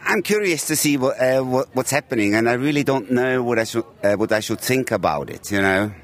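A man from Switzerland telling a personal story in an outdoor street interview, chatty and warm. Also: mid-range voice, expressive, a little fast. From Uri, Switzerland. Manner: chatty storyteller, says "you know". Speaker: member of the public. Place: outdoors at a gathering.

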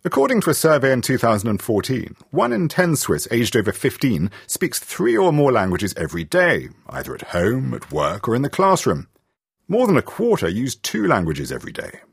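British male radio reporter, husky voice, lively intonation, dry studio sound. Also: mid-range voice, moderate pace, no echo. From Britain. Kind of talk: radio feature narration and interview.

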